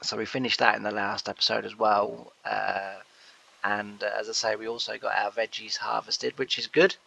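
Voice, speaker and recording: A man's voice: on the low side, flat delivery, moderate pace, creaky, pushed and bright. A man, nasal from a cold, rambling through casual gameplay commentary with many fillers. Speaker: gameplay host.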